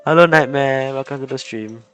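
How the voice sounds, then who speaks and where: Male game streamer, mid-range voice, casual rambling chat, repeated phrases, loud game music behind. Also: medium pitch, moderate pace. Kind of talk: casual livestream chat while gaming. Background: video game music and instrument notes.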